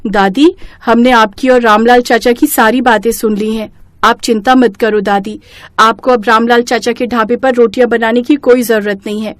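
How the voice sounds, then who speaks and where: A woman's voice: a little high, steady tone, moderate pace, dry recording, lightly acted. A woman voicing a caring, polite young girl in an earnest, reassuring storybook manner. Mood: reassuring, eager. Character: young twin granddaughters, eager, caring, polite little girls. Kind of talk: storybook character acting.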